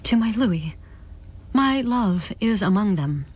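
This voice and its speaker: A woman's voice: medium pitch, expressive, takes it slowly, a little mellow, slightly rough, low-quality recording. Woman voicing Clara, anguished and defiant. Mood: grieving. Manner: pleading, demanding. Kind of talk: dramatic book reading.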